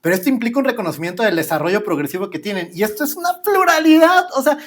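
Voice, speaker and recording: A man's voice: sits high, big pitch swings, racing, a bit bright. A man hosting a podcast, explaining with enthusiasm. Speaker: podcast host. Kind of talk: casual interview chat.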